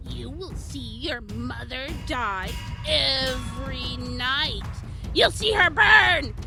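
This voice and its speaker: A woman's voice: high voice, vocal fry, pushed and bright, full character voice. A woman playing an ancient, malevolent hag in an audio drama, arrogant and theatrical, drawing out her threats. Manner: theatrical, drawn-out threats with shrieks.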